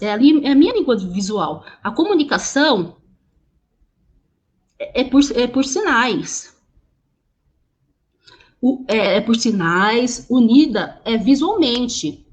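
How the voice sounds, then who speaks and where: A woman interpreting sign language into speech over a video call, halting, with many restarts and repeated words. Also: fairly high pitch. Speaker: sign language interpreter voicing a deaf teacher.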